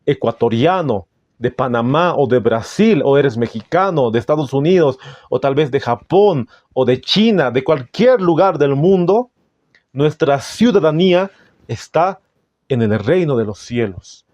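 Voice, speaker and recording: A man with a Bolivian Spanish accent preaching in a solemn, didactic way, reading out passages with deliberate phrasing. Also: mid-range voice, animated, darkish tone, dry recording, highs cut off. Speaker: Adventist preacher. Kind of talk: sermon with scripture and book readings.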